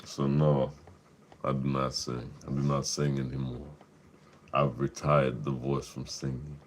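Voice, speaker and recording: Deep-voiced slow-talking man. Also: flat delivery, slight background noise. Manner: laid-back, profane, laughs often. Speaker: livestream host. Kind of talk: casual livestream chat.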